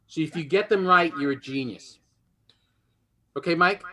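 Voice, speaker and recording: Bright, high-pitched man hosting a quiz. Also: normal speed. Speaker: game show host.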